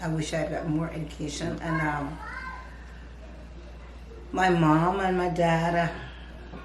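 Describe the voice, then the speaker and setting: An older woman with a Jamaican accent, a grandmother answering interview questions thoughtfully, with pauses. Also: low-pitched, little pitch movement, slight background noise. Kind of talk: casual interview. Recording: echoey room.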